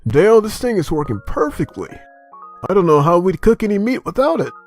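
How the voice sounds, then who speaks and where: An actor playing a steady, friendly small-town cop among survivors in a comic dub. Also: male voice, high voice, a little fast. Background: background music.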